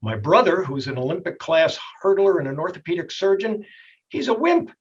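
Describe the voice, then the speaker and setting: A middle-aged or older man with a slightly husky voice, a doctor explaining things in a plainspoken, folksy way in a TV health interview. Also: high-pitched, big pitch swings, normal speed, muffled recording. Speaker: doctor, expert guest.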